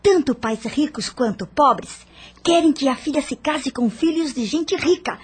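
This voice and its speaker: A woman's voice: high-pitched, measured pace. An actress playing a vivacious, vain young Brazilian woman in a radio drama, with a gushing, theatrical delivery. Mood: cynical. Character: vivacious, vain, materialistic young female cousin.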